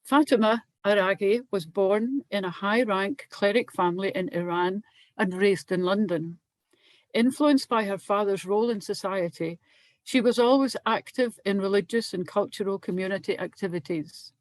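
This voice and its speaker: An older woman introduces the speakers in a calm, even-paced reading. Her voice sits in the middle range. It is dry and close, with no room echo, as if spoken over a video call. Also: medium pitch, moderate pace. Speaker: dialogue facilitator. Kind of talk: formal introductions.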